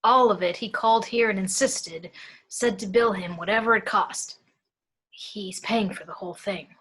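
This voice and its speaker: A woman's voice: medium pitch, moderate pace, fairly bright tone, husky, poor audio. A woman at a home table read playing a kindly, nervous man in his seventies who runs a funeral home, polite and hesitant. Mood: hesitant, concerned. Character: kindly, nervous, hushed 73-year-old male funeral director.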